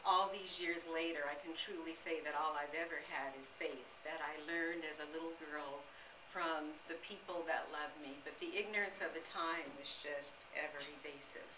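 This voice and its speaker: An American woman aged sixty giving an earnest, deliberate autobiographical talk to a gathering as a guest speaker. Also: low voice, fairly even intonation. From United States. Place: indoor living-room-style salon. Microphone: camera a few metres away. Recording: roomy, noisy recording from a distance.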